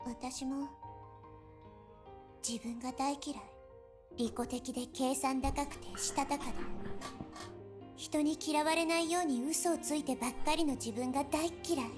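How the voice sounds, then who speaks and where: A woman's voice: high voice, steady tone, fairly quick, pauses often, natural voice. A woman voicing a proud, teasing seventeen-year-old girl in love in a visual novel. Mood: confessional. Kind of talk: Japanese visual novel voice acting. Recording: game music over the voice. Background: visual novel music.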